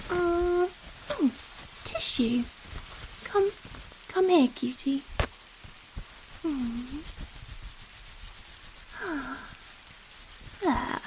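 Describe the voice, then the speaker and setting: A giant vampire woman, played by a female ASMR actor, speaks in a high, lingering voice with rain falling around her. She is coy and coddling, gentle yet possessive, toying with someone tiny in a slow, intimate roleplay. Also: noisy background, full character voice. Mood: tender, caring. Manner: slow, coy, coddling talk with pet names. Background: steady rain.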